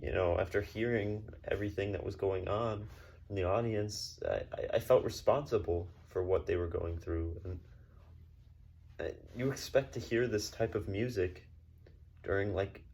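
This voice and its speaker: A man playing a shaken, earnest orchestra musician who speaks haltingly and stammers in a mock newsreel interview. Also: on the low side, creaky, darkish tone. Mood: troubled. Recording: faint background noise.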